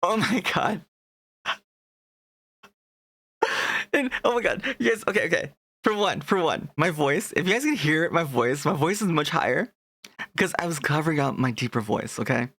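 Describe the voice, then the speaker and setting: A man's voice: fairly high pitch, expressive, moderate pace, pauses often, a bit bright, no echo. A young man chatting casually on a live stream, rambling and restarting his sentences as he greets his chat.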